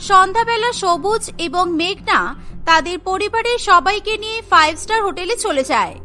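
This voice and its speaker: Cartoon narrator: woman, high and bright, clear even telling. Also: normal speed, soft background music. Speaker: narrator and lead voice actor.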